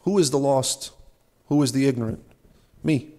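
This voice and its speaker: A young man, a subdeacon, giving an earnest, measured sermon at a church lectern, asking rhetorical questions. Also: mid-range voice, dark tone. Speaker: subdeacon preaching. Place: large ornate church, at a lectern. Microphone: lectern microphone.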